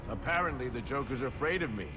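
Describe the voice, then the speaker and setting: A man's voice: mid-range voice, animated, quick pace, music in the background, lightly acted. A man playing a cold mercenary assassin. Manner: dry, cool menace.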